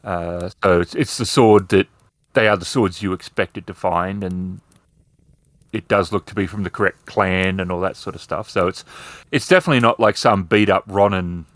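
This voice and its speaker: A man's voice: low-pitched, normal speed, no room sound. A man narrating as a game master, improvising descriptions in a hesitant, explanatory way.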